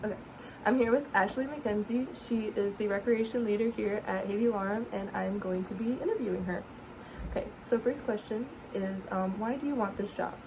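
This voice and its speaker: A woman interviewer reading numbered questions and giving brisk, encouraging replies. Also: medium pitch. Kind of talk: mock job interview questioning. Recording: loud background noise.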